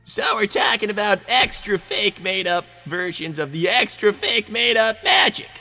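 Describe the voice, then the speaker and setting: High, creaky, anxious young fox sidekick. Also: male voice, expressive, moderate pace, bright, forceful tone, soft background music, heavily acted. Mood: flustered. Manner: flustered, stammering, repeats words. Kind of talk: improvised character roleplay on a livestream.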